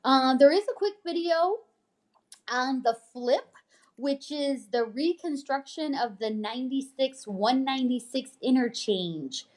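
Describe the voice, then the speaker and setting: A woman's voice: high voice, lively intonation, a little slow. A woman co-hosting, reading news items and chatting about them in an upbeat, informal way. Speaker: co-host, realtor reading a newsletter. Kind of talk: casual chat while reading news items.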